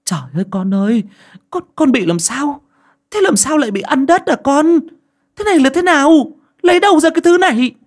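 A man voicing a frantic young mother who questions her child in a shrill, worried voice, in a storybook reading. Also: moderate pace, long pauses, natural voice.

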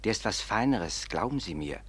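A man's voice: lowish voice, lively intonation, moderate pace, breathy edge. An actor playing a friendly, talkative male prison warden addressing a professor in a radio play. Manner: warm, deferential, chatty.

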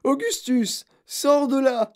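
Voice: high voice, takes it slowly, darkish tone, pitched above the performer's own voice. A storyteller voicing an overbearing mother, indignant and given to shrieks and wails. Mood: panicked shrieking. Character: shrill, outraged, overbearing mother.

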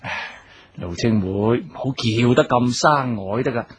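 An actor with a Hong Kong accent playing a suave, teasing suitor in a radio drama, glib and wheedling with theatrical sighs. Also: male voice, medium pitch, measured pace, a little hiss or hum, close to an everyday voice. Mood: flirtatious. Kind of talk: Cantonese radio drama acting.

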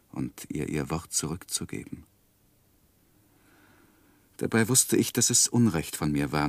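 A low male voice for a sensitive, earnest young gentleman in a vintage radio drama. He speaks in measured literary phrases with heartfelt feeling. The recording is close and very dry. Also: no room sound. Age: young adult. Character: earnest, lovestruck, sensitive young gentleman narrating his life. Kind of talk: 1960s German radio play acting and narration.

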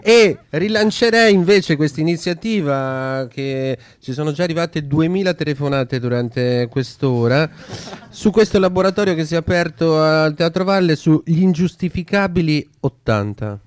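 A man's voice: fairly high pitch, animated, normal speed, clean tone. A man hosting an informal radio discussion, hesitant and searching, often repeating words as he builds his point. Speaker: radio show host.

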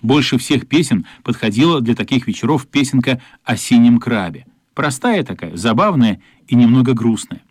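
An actor narrating a children's radio play as a thoughtful storyteller, in an expressive, lyrical literary manner. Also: male voice, mid-range voice, moderate pace, hardly any pauses, breathy edge, no room sound, studio quality. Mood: reflective.

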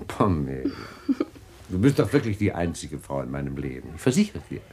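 An actor playing a flustered husband who is hiding something, in a radio drama. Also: male voice, rumbling low, very animated, brisk, darkish tone. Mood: evasive. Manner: evasive, flustered. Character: shaken husband hiding a secret.

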